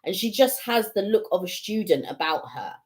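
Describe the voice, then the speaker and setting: A woman's voice: medium pitch, expressive, normal speed. A woman co-hosting a live stream from home, talking casually and at length with many fillers like "you know" and "like". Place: home room with a heater.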